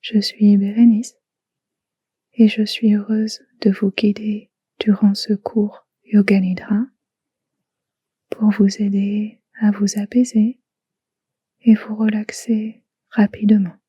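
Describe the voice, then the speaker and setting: A woman guiding a relaxation meditation in a soft, hushed, soothing way, in short phrases with long pauses. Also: medium pitch, flat delivery, husky, no echo, lo-fi sound. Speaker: yoga nidra guide.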